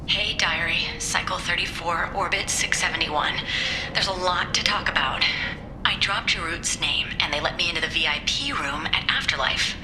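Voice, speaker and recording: Female game role, acted: smitten young diarist, hesitant, confiding, lowish pitch, music underneath. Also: close to an everyday voice, low-quality recording. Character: infatuated, insecure young woman recording her secret diary. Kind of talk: video game voice acting.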